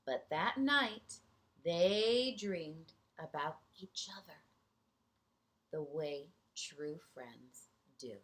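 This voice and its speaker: A woman teacher reading a picture book aloud to young children, gently pausing for chatty asides and questions to her listeners. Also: deep voice, expressive.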